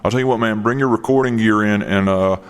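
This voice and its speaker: A man's voice: fairly low pitch, quick pace, clean tone, big-room sound, close to an everyday voice. A man voicing a laid-back young male comedian who drawls and says "man" a lot.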